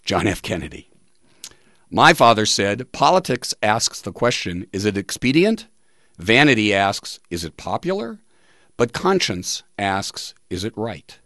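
A man hosting a radio talk show, reading aloud with pauses for emphasis in a studio, close to the microphone. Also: fairly low pitch, sing-song, fairly bright tone, no echo. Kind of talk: reading listener tributes and quotes on a talk show.

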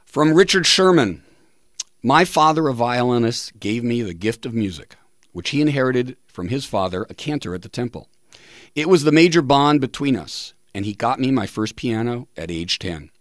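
A man hosting a radio talk show, reading aloud with pauses for emphasis in a studio, close to the microphone. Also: mid-range voice, expressive, no echo. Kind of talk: reading listener tributes and quotes on a talk show.

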